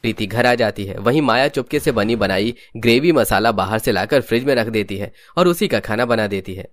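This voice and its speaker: A man's voice: fairly low pitch, expressive, brisk. A man reading scripted storybook narration for a cartoon. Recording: music under the voice.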